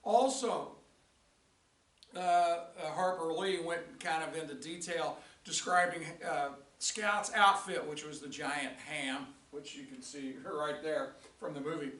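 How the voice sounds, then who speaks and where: An older male teacher recapping a lesson in a rambling way with many restarts, his voice raspy and tired. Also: on the high side, measured pace, fairly bright tone, recorded from a distance. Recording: echoey room, voice from off-mic.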